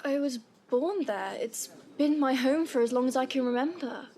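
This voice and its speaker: An actress playing a timid, gentle young Victorian woman, meek and stammering, in an audio drama. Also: high-pitched, moderate pace. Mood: nervous.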